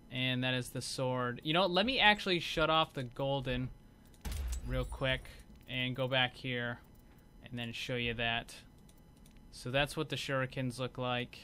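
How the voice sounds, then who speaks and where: Bright, higher-voiced man presenting game cosmetics. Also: smooth. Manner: informal, conversational, explanatory. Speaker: gaming video host. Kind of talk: casual video game showcase commentary.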